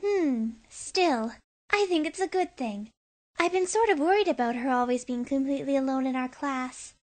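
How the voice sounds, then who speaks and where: A woman voicing a sweet, earnest teenage schoolgirl in an anime fan dub, polite and gently persuasive. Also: sits high, normal speed, natural voice. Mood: sweet, coaxing.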